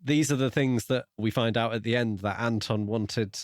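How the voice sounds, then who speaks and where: A man's voice: medium pitch, moderate pace, no room sound. Man in casual podcast chat, dry recording. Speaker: podcast co-host. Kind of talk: casual book discussion.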